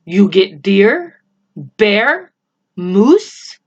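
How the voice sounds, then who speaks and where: Woman acting a bossy, slow-spoken tiny chief. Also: mid-range voice, sing-song, highs cut off, heavily acted. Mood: demanding. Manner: blunt clipped broken phrasing, imperious. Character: proud, bossy, tiny Native man chief, stern and demanding. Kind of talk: children's storybook character voice.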